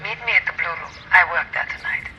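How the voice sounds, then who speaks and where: A woman's voice: lowish voice, expressive, fast, sharp tone, heavily acted. An actress voicing a guarded, businesslike German woman in a period noir video game, with a German accent. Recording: dull sound with faint music.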